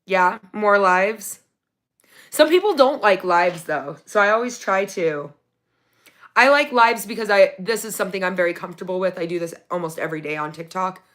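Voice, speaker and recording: A woman hosting a casual livestream from her phone, reading viewer comments, her voice going raspy from talking so long. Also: on the low side. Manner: chatty, excited when thanking viewers for gifts. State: losing her voice, getting raspy. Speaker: livestream host.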